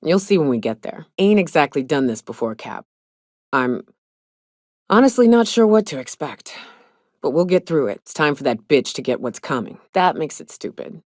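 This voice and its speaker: A woman playing a tough, grieving, foul-mouthed huntswoman in a space frontier video game, speaking bluntly in gruff, folksy phrasing. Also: low voice, sing-song, normal speed, a little mellow, dry recording, studio quality. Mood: grim, resolute. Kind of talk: video game character dialogue.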